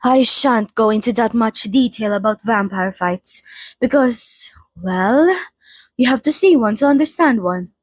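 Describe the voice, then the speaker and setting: A genteel female vampire, played by a woman, chats with her interviewer in an intimate ASMR roleplay. Her voice sits on the high side and is recorded bone dry. She is polite and a little formal, with gently wry, old-fashioned turns of phrase. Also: a little slow, no room sound. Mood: wry, gossipy. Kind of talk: ASMR roleplay, in-character monologue to listener.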